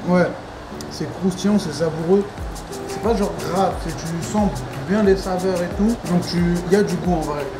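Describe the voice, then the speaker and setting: A man's voice: high voice, normal speed. A man chatting casually in a slangy, repetitive way. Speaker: vlog companion. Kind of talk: casual chat. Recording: echoey room under loud music. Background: loud music.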